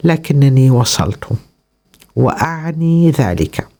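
A man reciting spoken word poetry in a deliberate, declamatory way, in short phrases with pauses between them. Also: medium pitch, big pitch swings, warm tone.

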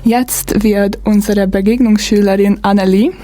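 A woman from Hungary with a Hungarian accent, co-moderating a radio show in German and pausing often with fillers. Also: medium pitch, steady tone, dark tone. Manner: hesitant, with many fillers. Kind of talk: casual radio intro.

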